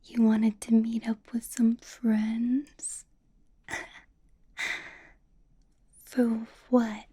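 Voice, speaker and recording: A woman performs a female vampire boss in an ASMR roleplay, whispering in a breathy, hushed voice. She speaks slowly and deliberately, doting on the listener with possessive sweetness. A controlling menace sits under the soft, mellow tone. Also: on the high side, little pitch movement, exaggerated voice. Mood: controlling, sweetly menacing. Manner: breathy, slow whispering with soft little laughs.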